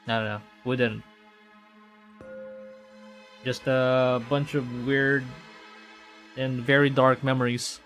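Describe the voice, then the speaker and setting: Male game streamer, mid-pitched, jokey and chatty, faint music underneath. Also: level tone, slow, pauses often, a bit bright. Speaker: streamer reading a visual novel aloud. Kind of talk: playthrough commentary with improvised replies to the characters.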